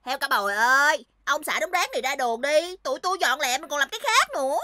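A woman's voice: sits high, animated, fast talker, a little hiss or hum. A woman voicing a small, bossy, eager boy in a children's cartoon, cocky and insistent, with a Southern Vietnamese accent. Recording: loud children's music behind the voice.

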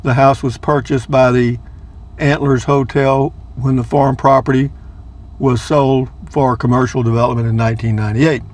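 A man's voice: mid-range voice, level tone, moderate pace, a little mellow. Rambling chatty man driving. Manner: chatty, rambling, repeats words playfully. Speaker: vlogger. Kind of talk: casual driving vlog narration. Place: inside a car.

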